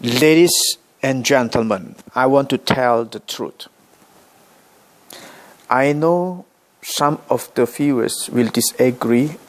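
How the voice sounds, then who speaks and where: Slow, halting Indonesian-accented man. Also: mid-range voice, poor audio. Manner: slow, halting speech with many uh pauses and self-corrections. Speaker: vlogger. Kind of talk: direct-to-camera vlog address.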